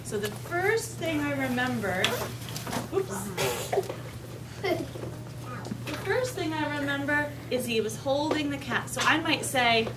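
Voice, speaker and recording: High-voiced woman teaching young children, distant mic. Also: expressive, slow, long pauses. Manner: simple instructional phrasing with frequent check-in questions. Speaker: kindergarten teacher leading a read-aloud. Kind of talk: interactive read-aloud lesson to young children. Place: kindergarten classroom with children. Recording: distant mic, clearly noisy room ambience.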